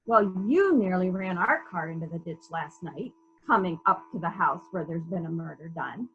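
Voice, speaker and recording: A woman's voice: fairly low pitch, lively intonation, normal speed, narrow, tinny audio, a little hiss or hum. A woman playing a probing, methodical female police detective in a dramatic reading of a murder mystery, musing and questioning as she goes. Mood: skeptical. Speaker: actor in a library's dramatic reading.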